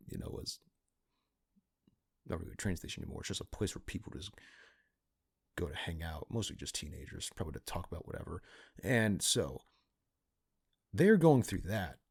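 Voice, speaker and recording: Man acting as low-voiced, offhand criminal accomplice. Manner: casual, halting, offhand conspiratorial briefing. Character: cynical, pragmatic male criminal accomplice to a vigilante. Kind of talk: ASMR roleplay monologue.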